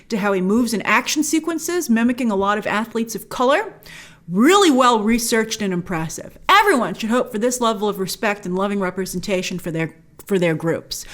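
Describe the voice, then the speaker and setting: A woman with a fairly high voice delivers an opinionated review. Her pitch swings widely as she talks. She is emphatic and informal, speaking as a show host in a conversational style. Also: fairly bright tone.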